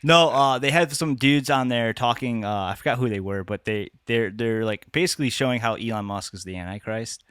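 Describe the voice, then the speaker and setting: A man talks loosely on a podcast, circling through restarts and swearing as he works toward his point. His pitch sits in a middle range. The recording is dry and close, with no room sound. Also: brisk, few pauses, fairly bright tone, clean tone, dry recording. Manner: rambling, lots of restarts and swearing. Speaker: podcast co-host. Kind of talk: casual chat.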